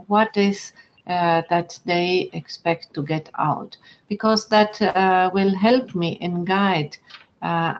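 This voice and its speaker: A woman from Argentina whose first language is German, with a German accent, presenting an online lecture in an earnest, measured way with frequent fillers. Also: medium pitch, highs cut off, a little hiss or hum. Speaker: webinar presenter.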